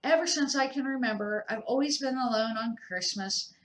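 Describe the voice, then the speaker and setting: A woman's voice: on the high side, normal speed, a bit bright, lightly acted. An older woman voicing a shy, lonely male monster with a nervous, hesitant manner in a children's storybook. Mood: sad, shy. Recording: echoey room.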